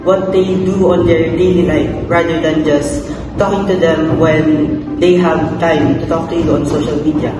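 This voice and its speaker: A man's voice: on the high side, fairly even intonation, normal speed, heavy background noise. An eighteen-year-old man with a Filipino accent, a student speaking earnestly about his reactions. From Philippines. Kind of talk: reaction video commentary. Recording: distant, echoey hall with music.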